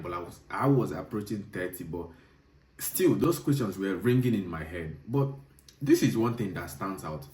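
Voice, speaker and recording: A man in his thirties giving advice straight to viewers, speaking emphatically and repeating his key points. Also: lowish voice. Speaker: video host, software developer. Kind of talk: direct-to-viewer advice monologue. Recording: roomy echo, distant mic.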